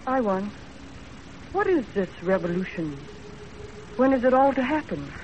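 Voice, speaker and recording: A woman's voice: on the high side, sing-song, a little slow, darkish tone. A woman playing a self-possessed, sceptical young servant in a composed, plain-spoken 1930s radio acting style. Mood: cool, sceptical. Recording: muffled, faintly noisy 1930s radio broadcast.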